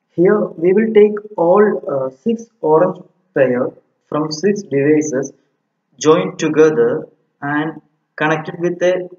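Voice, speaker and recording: A man giving an informal technical tutorial as an instructor, explaining step by step and often checking in with "okay". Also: on the high side. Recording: muffled, roomy, echoey home recording.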